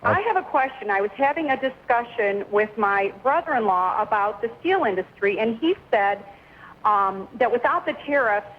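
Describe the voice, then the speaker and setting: Woman, higher-pitched, radio caller, conversational, lo-fi phone sound with slight background noise. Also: lively intonation, moderate pace. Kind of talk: conversational call-in question. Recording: dull call-in line, faint radio noise.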